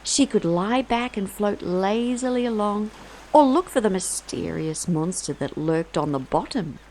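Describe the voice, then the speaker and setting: Animated, unhurried woman storyteller. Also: mid-range voice, slight background noise, low-quality recording. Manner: theatrical, emphatic, drawn-out phrasing. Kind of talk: expressive children's story read-aloud.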